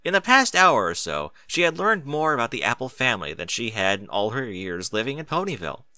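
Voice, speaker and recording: A man's voice: medium pitch, big pitch swings, a little fast, sharp tone, dry recording. A man reading scripted fiction aloud as an audiobook narrator.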